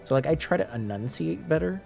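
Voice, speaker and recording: A man's voice: fairly high pitch, lively intonation, brisk, gravelly, darkish tone, soft background music. A man from French Canada with a light French Canadian accent, talking casually to his stream viewers, rambling with many restarts and fillers.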